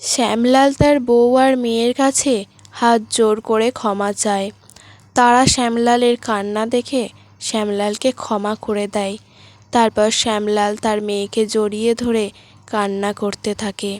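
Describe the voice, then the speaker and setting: High-voiced woman narrating a story. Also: fairly even intonation, moderate pace, darkish tone, no room sound. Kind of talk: scripted sad story narration.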